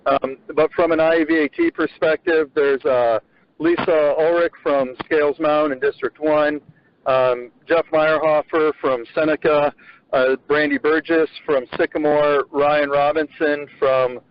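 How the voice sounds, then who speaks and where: A man hosting a conference call, speaking haltingly with repeated words and many fillers. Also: a little high, steady tone, no echo. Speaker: host of an agricultural education conference call. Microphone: phone on a conference call. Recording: muffled conference-call audio.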